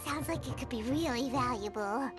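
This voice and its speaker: A woman voicing a tiny, childlike, excitable fairy companion in an anime game dub, exclamatory in manner. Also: sits high, moderate pace, over music, natural voice. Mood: eager. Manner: exclamatory, talks about self in third person.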